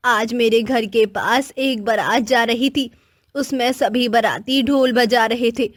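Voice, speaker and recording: A woman's voice: a little high, fairly even intonation, slow pace, dry recording, clean studio sound. A woman voicing a cranky, scheming old village woman who whines and makes dramatic demands in a children's cartoon. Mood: indignant. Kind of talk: children's cartoon moral story acting.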